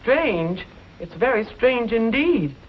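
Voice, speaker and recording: Brusque older businesswoman, very animated intonation, emphatic, vintage western drama, muffled recording. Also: fairly high pitch, takes it slowly. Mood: puzzled. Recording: dull lo-fi old recording, background noise.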